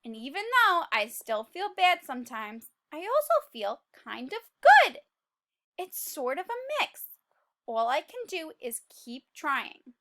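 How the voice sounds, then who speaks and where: A woman's voice: high-pitched, sing-song, a little slow, bright, forceful tone, heavily acted. A woman voicing a tiny, gloomy male sunflower seed who is trying to reform, in a dramatic, confessional storybook read-aloud. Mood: hopeful, earnest. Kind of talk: children's picture book read-aloud.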